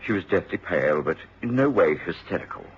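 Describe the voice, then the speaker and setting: An actor playing a hearty, mild middle-aged English doctor, a detective's companion, in a radio drama. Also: male voice, low voice, lively intonation, a little fast, a little hiss or hum. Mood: grave narration. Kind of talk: radio drama acting and narration. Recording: dull old radio recording with music.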